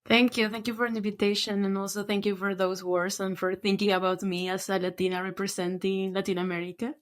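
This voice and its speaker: A woman's voice: mid-range voice, flat delivery, fairly quick. A young woman from Peru, a podcast guest who tells her story in a chatty way, with many fillers. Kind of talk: conversational storytelling.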